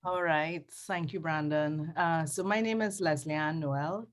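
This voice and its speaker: A woman with a Trinidadian accent talks through her work on a video call. Her voice sits a little low, and she speaks thoughtfully, pausing often and correcting herself as she goes. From Trinidad and Tobago. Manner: thoughtful, hesitant, many ums and self-corrections. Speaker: design professor. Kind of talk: casual panel conversation.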